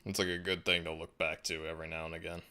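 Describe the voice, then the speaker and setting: Male streamer with a very deep voice and a relaxed, meandering delivery. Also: fast. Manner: laid-back and musing, frequent restarts and soft laughs. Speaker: livestream host. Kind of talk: casual livestream chat, reading viewer comments.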